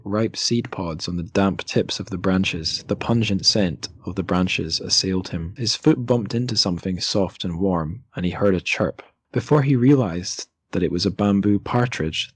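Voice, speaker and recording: A man's voice: low-pitched, slightly rough, no echo. A man hosting a literary podcast, talking casually and reading passages from a book. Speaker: podcast host.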